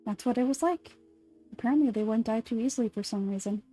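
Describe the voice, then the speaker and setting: A woman voicing a calm, macabre nurse. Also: on the high side, soft background music, lightly acted. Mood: macabre, relishing. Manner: soft, measured and lecturing, dwelling on gruesome detail. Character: calm adult female nurse relishing grisly history. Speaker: fan dub voice actor. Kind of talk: anime fan dub acting.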